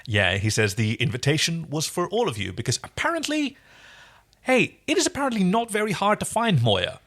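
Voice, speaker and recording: Animated man chatting as a podcast host. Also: fairly high pitch, fairly quick. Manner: animated, enthusiastic, exclaiming. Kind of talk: casual recap chat about a TV show.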